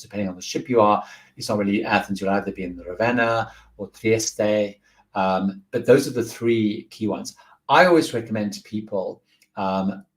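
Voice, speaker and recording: Male livestream host, low-ish voice, relaxed rambling delivery with lots of fillers, reads viewer questions and answers them off the cuff. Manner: rambling, many fillers and restarts, friendly advice. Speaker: host of a live cruise Q&A.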